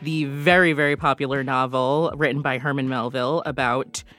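A woman's voice: extremely low pitch, steady tone, normal speed, fairly bright tone, soft background music. A woman, a guest contributor, chatting enthusiastically on a radio show about local events, often saying "like.". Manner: enthusiastic, chatty, uses "like" a lot. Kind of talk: casual radio chat.

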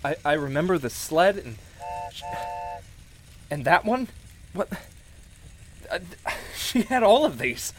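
A man playing a grieving widower and recovering alcoholic in a radio play, stammering and halting with emotion. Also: a little high, big pitch swings, slight background noise. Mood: stunned, awed.

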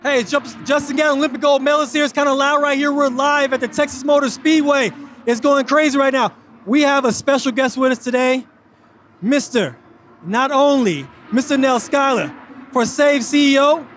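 A man hosting an interview in a lively, upbeat way, speaking outdoors at a loud racetrack. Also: very high voice, normal speed, faint music behind. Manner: upbeat, hype-driven. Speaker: host.

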